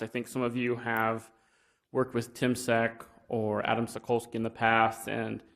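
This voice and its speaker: A young man giving an informal presentation at a county meeting, talking plainly with many fillers and restarts. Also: medium pitch. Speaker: wind energy business developer. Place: county commission meeting room.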